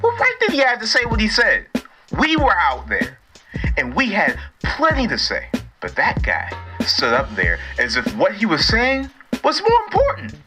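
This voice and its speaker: A woman's voice: mid-range voice, huge pitch swings, moderate pace. A woman playing a resentful, challenging activist who speaks emphatically as a caller on a radio drama's talk show. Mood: resentful, defiant. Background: music.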